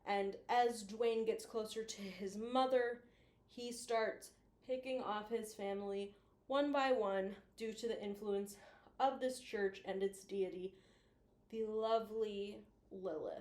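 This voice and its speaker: A woman's voice: mid-range voice, steady tone, slow, a little mellow, echoey room. A woman chatting casually as a video host giving commentary, playful and teasing, with the odd mild swear. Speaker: YouTube host reviewing analog horror.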